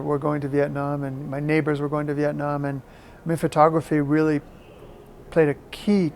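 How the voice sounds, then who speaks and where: Interviewee: American man in middle age, thoughtful and halting, fairly level melody, faint ambient noise behind. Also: fairly high pitch, vocal fry, a little mellow. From Maine, United States. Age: middle-aged. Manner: halting, thoughtful, repeats words for emphasis. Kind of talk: reflective interview talk.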